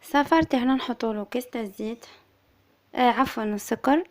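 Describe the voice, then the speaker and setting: A woman with an Algerian Arabic accent who is slightly hoarse from illness, calmly explaining a recipe as a cooking-channel host. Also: fairly high pitch, fast talker, a little hiss or hum. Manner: soft, subdued, steady explaining. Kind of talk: recipe tutorial voice-over.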